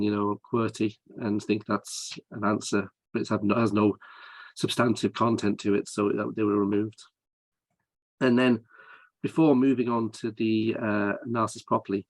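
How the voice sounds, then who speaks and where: A man's voice: lowish voice, no echo. A man presenting research findings at a conference, explaining his methods step by step with frequent hesitations.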